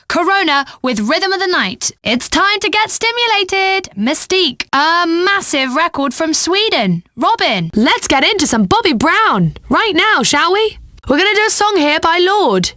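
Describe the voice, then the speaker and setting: A woman's voice: high-pitched, pushed and bright, dry recording, studio quality, full character voice. A woman playing a flirty, upbeat pop radio DJ in a video game, with slick, playful, teasing song introductions.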